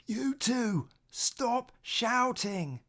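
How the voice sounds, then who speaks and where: Storybook older brother, played by a woman: young boy, unhurried, high-voiced, reasonable, gently coaxing. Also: full character voice. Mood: hushing, patient. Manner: calm, coaxing, explaining. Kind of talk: children's storybook read aloud.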